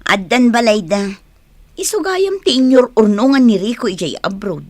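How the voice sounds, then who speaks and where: A Filipino actress playing a chatty, gossipy niece in a radio drama. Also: female voice, a little high, lively intonation, moderate pace, a little mellow, no echo.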